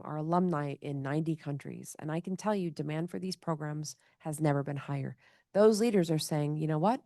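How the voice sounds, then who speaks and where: A woman's voice: low-pitched, a little mellow, no echo, studio quality. A woman who leads a leadership program, speaking passionately on a discussion panel. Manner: passionate, speaks in quoted voices.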